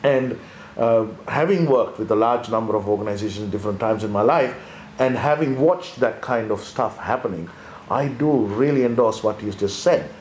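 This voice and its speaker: A man's voice: lowish voice, normal speed, darkish tone, roomy sound, a little hiss or hum. A man from Sri Lanka, a former accountant, talking through business ideas in a thoughtful way and often restarting his sentences. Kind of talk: conversational leadership discussion.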